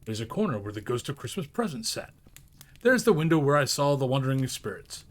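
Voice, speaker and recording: An overjoyed old reformed miser from a storybook, acted out by a man, gushing like an excited child. The pitch leaps and swoops freely as he whoops and exclaims breathlessly. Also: a little fast, close to an everyday voice. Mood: elated. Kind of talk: dramatised storybook reading.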